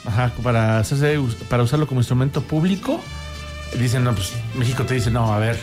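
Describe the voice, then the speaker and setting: A man's voice: medium pitch, expressive, brisk, darkish tone, soft background music. A man with a Mexican accent co-hosting a radio show, explaining things in casual chat. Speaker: radio talk show co-host.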